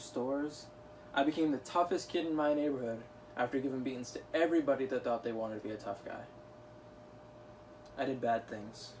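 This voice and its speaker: A man's voice: mid-range voice, natural voice. A man playing an earnest, remorseful former tough kid from Queens in a confessional stage monologue, recorded at a distance. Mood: hardened, reflective. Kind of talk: dramatic monologue for a theatre class. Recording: distant mic with faint noise.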